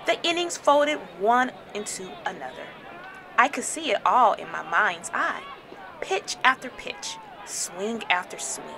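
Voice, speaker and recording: A woman storyteller reading a children's book aloud in an expressive, dramatic way. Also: mid-range voice, a little slow, a faint crowd, slight background noise. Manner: animated, dramatic phrasing.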